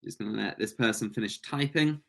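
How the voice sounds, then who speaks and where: A man's voice: on the low side, fairly quick, vocal fry, a bit bright. Man teaching English online. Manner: encouraging, praising students' answers. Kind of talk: online language lesson, explaining and prompting students. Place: online webinar call.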